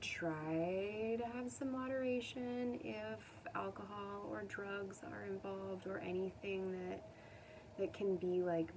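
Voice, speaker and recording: A woman giving a casual tarot reading to viewers, speaking gently and consolingly in an informal way. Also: mid-range voice. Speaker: tarot reader. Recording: distant mic, faint background noise.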